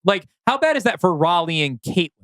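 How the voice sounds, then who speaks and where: A man co-hosting a podcast, discussing a story in a casual, analytical way with thoughtful, measured phrasing. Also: sits high, very animated, fairly bright tone, no room sound, studio quality. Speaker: podcast co-host.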